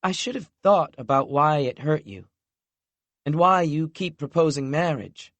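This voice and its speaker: A man's voice: measured pace, no room sound. A man voicing a tearful, wobbly young woman. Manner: wobbly, raised pitch, soft. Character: vulnerable emotional woman, Lexi, worried and tender.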